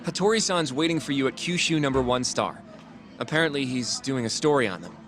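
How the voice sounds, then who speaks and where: Earnest, polite young male legal colleague, played by a man, game drama, mid-pitched, articulate, music underneath. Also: animated, brisk, fairly bright tone, close to an everyday voice. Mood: earnest, coaxing. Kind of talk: English video game dub acting.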